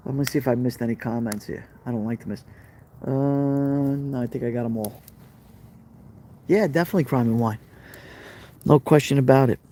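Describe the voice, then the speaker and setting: A man's voice: mid-range voice, normal speed, pauses often, dark tone, slight background noise. A middle-aged man with a Brooklyn accent hosting a casual live stream, chatting to viewers and reading their comments, often repeating himself. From Brooklyn, New York. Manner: chatty, repeats phrases, talks straight to viewers.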